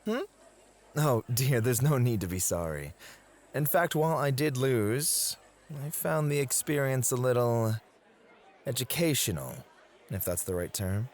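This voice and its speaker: Man acting an affectionate club host, slow and expressive. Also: mid-range voice. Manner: sweet-talking, polite, soft laughs and sighs. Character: affectionate, courteous male club host, gently possessive, motivated rival. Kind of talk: ASMR romantic roleplay.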